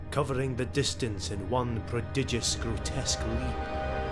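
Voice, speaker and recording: A man narrating an audio drama in a scripted, measured and dramatic storytelling style. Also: mid-range voice. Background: music.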